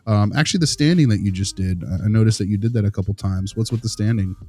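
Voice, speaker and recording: A man's voice: on the low side, quick pace, warm tone, husky, soft background music. A man playing an affable, slightly awkward visiting consultant, chatty, informal and rambling, in improvised audio drama. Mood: polite, probing.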